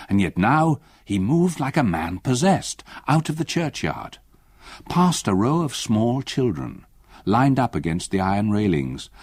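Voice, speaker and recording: Old solicitor telling his tale, husky low voice, grave but expressive, close dry sound. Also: no room sound, heavily acted. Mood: urgent, recalling. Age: older. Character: elderly retired solicitor recalling a haunting memory, grave. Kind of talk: dramatic first-person narration in radio drama.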